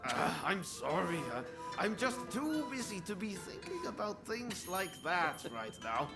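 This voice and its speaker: Game cutscene: affable samurai rebel, informal, pitch moving freely, strong music behind. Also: male voice, big pitch swings, measured pace, creaky, heavily acted. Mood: flustered, placating. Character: earnest, affable young rebel swordsman. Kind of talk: video game cutscene acting. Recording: game audio under loud music.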